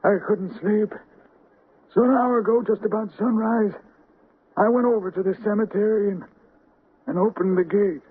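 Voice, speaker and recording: High, husky, shaken groundskeeper. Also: male voice. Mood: uneasy, hesitant. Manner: halting, hesitant. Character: shaken male cemetery groundskeeper reporting strange sights. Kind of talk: 1970s radio drama acting. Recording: muffled phone-like old radio recording.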